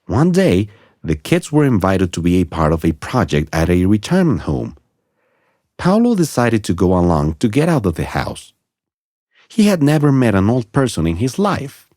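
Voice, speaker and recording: Deep-voiced man narrating a children's story. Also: huge pitch swings, normal speed, a little mellow, no echo, clean studio sound. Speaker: audiobook narrator.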